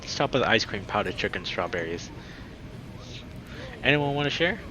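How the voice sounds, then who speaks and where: A man's voice: fairly low pitch, expressive, fairly quick, natural voice. A man voicing an easygoing, hungry young male animal friend in a visual novel, with a laid-back manner. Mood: eager, casual. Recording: noisy background behind the voice.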